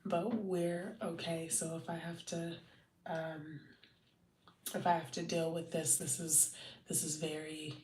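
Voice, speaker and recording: A woman's voice: on the low side, little pitch movement, a little slow, darkish tone, a little hiss or hum. Congested woman rambling on a late stream. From Southern California. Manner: rambling, many fillers and restarts. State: congested and wheezy. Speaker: content marketing livestreamer. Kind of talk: casual late-night livestream chat. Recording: roomy, distant from the mic.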